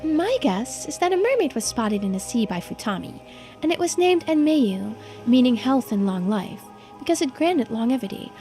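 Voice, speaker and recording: A woman voicing a polite, studious local guide in a video game, explaining things in a measured, formal way. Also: fairly high pitch, sing-song, mellow. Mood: earnest, scholarly. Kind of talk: game character dialogue read aloud. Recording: music behind the voice.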